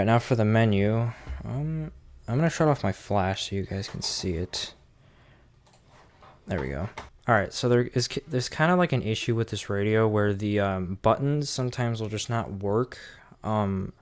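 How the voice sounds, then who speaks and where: Guy doing a casual gadget review, lowish clear voice, just talking it through off the cuff. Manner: informal, thinking aloud. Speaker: product reviewer. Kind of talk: casual unscripted hands-on demo.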